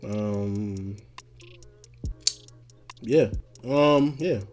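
A young man hosting a casual solo podcast, rambling in a laid-back, slangy way with many fillers, his voice going raspy. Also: fairly low pitch, drawn-out words, over music. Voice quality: getting raspy. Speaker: podcast host.

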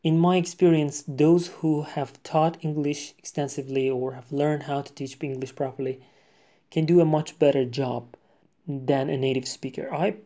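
A man's voice: a little high, steady tone, normal speed, dark tone. A man from Iran, an English speaker, hosting a solo podcast in a conversational, unscripted way. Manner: conversational, frequent fillers and restarts. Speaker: podcast host.

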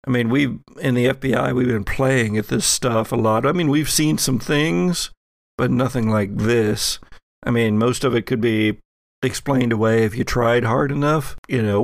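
A man's voice: medium pitch, creaky, dry recording, clean studio sound. A man playing a wary, weary federal agent in an improvised tabletop horror game, talking in a hesitant, colloquial way that trails off. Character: weary male FBI agent Owl, wary, reluctantly practical. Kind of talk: improvised in-character tabletop dialogue.

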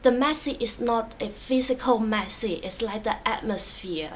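Hong Kong-accented woman, hesitant and soft. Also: a little high, moderate pace. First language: Cantonese. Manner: hesitant, frequent uh fillers and restarts. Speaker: interviewee. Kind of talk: casual interview answers. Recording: distant mic with faint background noise.

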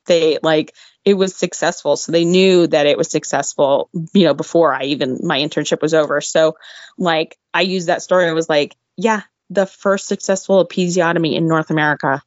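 Woman guest, lower voice, energetic storyteller with frequent laughs and a slightly worn voice; muffled, dry recording. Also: no room sound. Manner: energetic, laughs often, jumps into exclaimed asides. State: losing her voice. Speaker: guest, former veterinary intern. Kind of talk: animated personal storytelling. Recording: dull, dry lo-fi audio.

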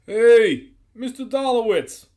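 A man puts on a comic audio-story doorman, skeptical and aggressively indifferent. He calls out loudly in a raised voice, unimpressed by anybody. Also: very high-pitched, big pitch swings, slow pace, voice pushed up, heavily acted. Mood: calling out. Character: aggressively indifferent male guard behind a steel door.

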